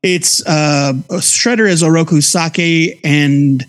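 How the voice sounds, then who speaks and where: High-pitched man chatting about geeky interests. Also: steady tone, takes it slowly. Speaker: co-host of a comics review podcast. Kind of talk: casual review chat.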